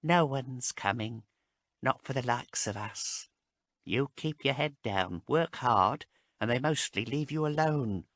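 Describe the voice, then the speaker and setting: A man voicing a small, fearful male abhuman slave who talks in a hushed, pleading way, pitched well above his own voice. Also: animated, slow pace, dry recording, full character voice. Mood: resigned.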